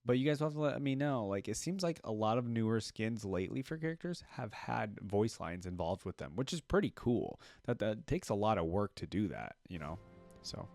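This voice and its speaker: Deep-voiced man giving casual reactions. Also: sing-song, brisk, gravelly, a little mellow, faint music behind. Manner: musing, echoes lines he hears. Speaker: reaction video host.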